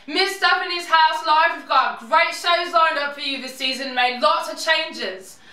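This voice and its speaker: Bright high woman with a put-on British accent. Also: unhurried. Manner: jokey, upbeat, self-mocking asides. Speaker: host of a live music show. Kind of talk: playful show introduction. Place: living room show space in a house. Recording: roomy sound, mic at a distance.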